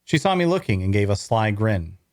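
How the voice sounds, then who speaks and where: A man playing a wry young traveler, telling his fantasy adventure in measured, dramatic first-person narration at a table read. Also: lowish voice, very animated, no room sound, clean studio sound. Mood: wry, observant. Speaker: voice actor.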